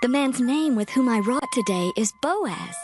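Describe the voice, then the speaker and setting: Devoted young widow, biblical audio drama, higher female pitch, meek, earnest, music underneath. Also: expressive, moderate pace. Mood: earnest, submissive. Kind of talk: dramatized scripture acting, archaic English.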